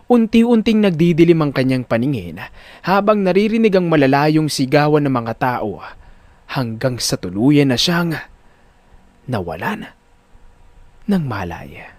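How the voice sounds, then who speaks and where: A man's voice: a little high, big pitch swings, a little slow, pauses often, a little mellow, no echo. A man narrating a scripted drama story in a dramatic style.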